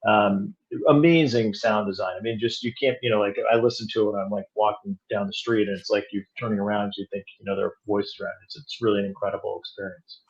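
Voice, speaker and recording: A man chatting casually as an interview guest, thinking aloud in a halting, self-correcting way. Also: lowish voice, fairly even intonation, dull audio. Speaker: audio drama producer and director, interview guest.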